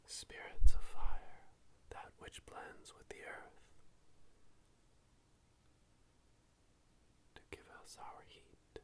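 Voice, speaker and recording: A solemn coven witch played by an ASMR performer leads a ceremonial invocation. The voice is a breathy whisper, unhurried and chanting, right up against a binaural microphone. It has the hushed, grave manner of ASMR roleplay. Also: lightly acted. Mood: solemn, ominous. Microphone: close binaural microphone, ear to ear.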